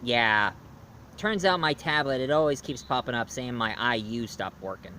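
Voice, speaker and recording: A man hosting a casual movie review show, rambling with lots of fillers and restarts and swinging between loud bursts and quiet asides. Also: medium pitch, moderate pace, a little hiss or hum.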